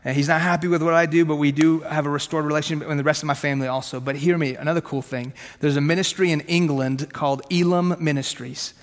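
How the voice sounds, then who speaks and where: Man, 30s, Farsi first language: brisk, chatty preacher, voice a touch high for a man, long hall echo. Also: fairly bright tone. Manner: conversational and punchy, asks questions, jokes at himself. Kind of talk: sermon with personal testimony.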